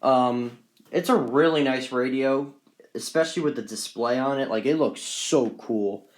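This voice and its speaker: A man's voice: medium pitch, measured pace. Rambling guy giving a casual show-and-tell. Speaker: hobbyist collector presenting radios on video.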